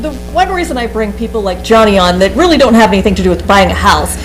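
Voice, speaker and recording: A woman's voice: medium pitch, expressive, fast, soft background music, rough recording. A woman with a Kansas accent hosting a TV studio interview, chatty and playful. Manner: chatty, playful, frequent laughs and backchannels. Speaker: TV show host.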